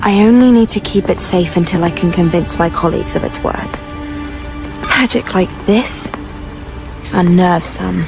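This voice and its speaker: A woman's voice: lowish voice, measured pace, creaky, music in the background, low-quality recording. An actress playing a determined, solemn witch and scholar in a video game. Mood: guarded conviction.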